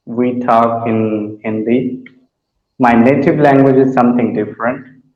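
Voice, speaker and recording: Slow-talking man on a roomy, dull call. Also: mid-range voice, steady tone. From India. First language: Maithili. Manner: slow, repeats words, hesitant fillers. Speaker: English learner. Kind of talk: casual video-call chat. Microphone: video call.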